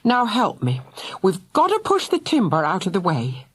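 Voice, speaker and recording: Woman doing a firm storybook father, urgent, calling out, husky. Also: mid-range voice, wildly swooping pitch, moderate pace, voice pushed up. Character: firm, determined father searching for kids.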